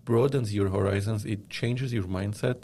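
A man from Lebanon answering interview questions, speaking in a measured way and often pausing with "uh". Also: on the low side, fairly even intonation, darkish tone, slight background noise. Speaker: guest, media company founder.

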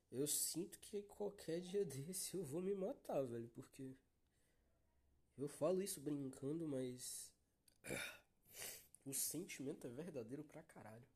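A man's voice: fairly high pitch, mellow, husky. A young Brazilian man with a Brazilian Portuguese accent hosting a solo podcast, talking in a weary, downcast way with heavy swearing and calling his listeners "guys". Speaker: podcast host. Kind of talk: solo confessional podcast monologue.

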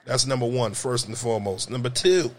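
Profane, loud joking New man. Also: a little fast. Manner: loud, profane, joking, swings pitch high when riffing. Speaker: podcast host. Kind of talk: crude comedic banter.

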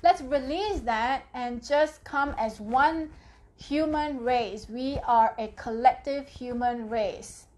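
A woman's voice: high-pitched, slow pace, darkish tone. A woman from Singapore, hosting a casual spiritual live talk and chatting through viewer comments. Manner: chatty, reads viewer comments aloud, tags "right?". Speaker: live stream host.

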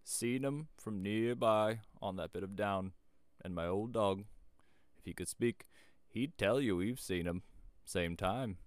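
A man's voice: lowish voice, clean tone, dry recording, voice pushed up, natural voice. Casting: male reader as elderly country shepherd for a storybook, grave and emphatic, rustic turns of speech, very dry recording. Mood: grave, insistent. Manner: rustic dialect speech, slow emphatic warnings. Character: old rustic shepherd, grave, startled, warning. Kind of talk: storybook character voice.

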